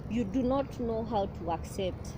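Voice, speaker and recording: A young woman, speaking in an earnest, halting way and often pausing to restart, in a personal vlog. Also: fairly high pitch, lively intonation. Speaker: vlogger. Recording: clear outdoor street noise behind.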